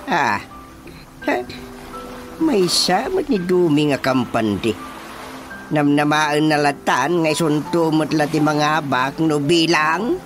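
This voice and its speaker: A man's voice: a little high, lively intonation, slow pace, long pauses. An older village father with an Ilocano accent in a radio drama. His delivery swings widely up and down as he mulls things over, with music playing behind him. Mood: thoughtful, doubtful. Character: older father figure weighing village politics. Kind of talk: Filipino radio drama acting.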